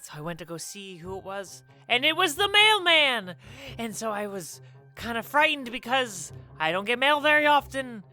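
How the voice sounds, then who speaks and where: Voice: mid-range voice, sing-song, moderate pace, pushed and bright, soft background music. An actor playing a timid, bumbling male camp counselor in a light-hearted audio drama, stammering and hesitant. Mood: uneasy storytelling.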